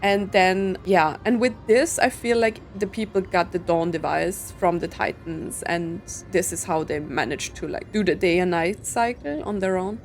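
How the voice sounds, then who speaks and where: A woman's voice: lowish voice, normal speed, hardly any pauses, soft background music. A woman giving casual game commentary, thinking aloud in a puzzled way with lots of filler words. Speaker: streamer playing a story game.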